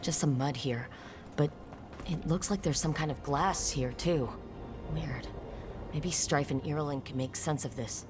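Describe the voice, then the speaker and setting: An actress playing a determined, serious game heroine, speaking tersely and earnestly. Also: female voice, sits low, a little slow, music in the background. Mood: curious, focused. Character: determined adult female adventurer leading a rescue, serious, steady. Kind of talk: video game voice acting.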